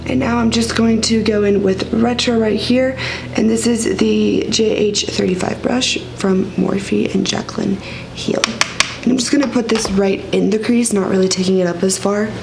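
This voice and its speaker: A woman who is sick and has a raspy voice, chatting casually through a makeup tutorial with lots of fillers and rambling asides. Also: mid-range voice, fairly even intonation, normal speed, noisy background. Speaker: beauty vlogger.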